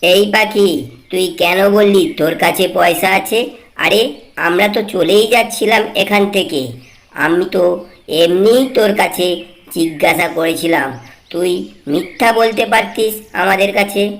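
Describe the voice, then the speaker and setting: A woman's voice: medium pitch, a little slow, natural voice. A woman voicing a blunt, commanding bandit kite bird in a cartoon story. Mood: baffled scolding. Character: gruff bandit kite bird, scolding, later remorseful.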